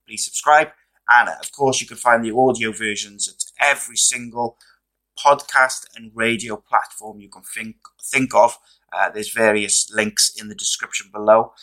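A man hosting a podcast in relaxed, friendly chat, hoarse as he loses his voice. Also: medium pitch, low-quality recording. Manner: relaxed, chatty, friendly with fillers. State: losing his voice. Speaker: podcast host. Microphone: podcast microphone.

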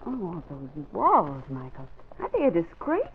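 An actress playing a vivacious young press photographer in an old-time radio drama, playful, coaxing and theatrical. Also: female voice, on the low side, huge pitch swings, normal speed. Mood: curious. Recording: tinny, hissy vintage radio recording.

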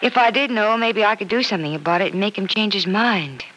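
A woman's voice: medium pitch, fast, a bit bright, natural voice, rough recording. An actress with an American accent playing an earnest, stubborn young woman in an old-time radio soap opera. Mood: earnest, troubled. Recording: old radio broadcast with faint noise.

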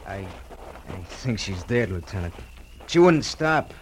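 Shaken, deep-voiced policeman. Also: sing-song, a little hiss or hum. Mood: shaken remorse. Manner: halting, defensive. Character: shaken policeman, remorseful and subdued. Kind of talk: old-time radio detective drama acting.

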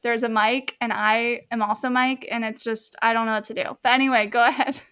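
Upbeat young woman hosting, fairly high voice. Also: steady tone, fairly quick, a bit bright, clean tone. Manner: friendly, upbeat interviewer with casual fillers. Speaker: podcast host. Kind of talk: casual interview chat.

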